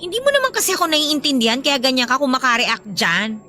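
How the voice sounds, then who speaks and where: Casting: Filipino student big sister in radio drama, expressive, high and bright, resentful streak, light music behind. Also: female voice, fast talker, full character voice. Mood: irritated. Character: resentful, dutiful older sister, a young student.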